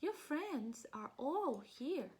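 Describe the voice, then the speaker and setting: A woman's voice: sits high, sing-song, extremely slow, voice pushed up, exaggerated voice. A woman voicing a small, kindly mouse from a picture book, fussing and comforting in a tender way.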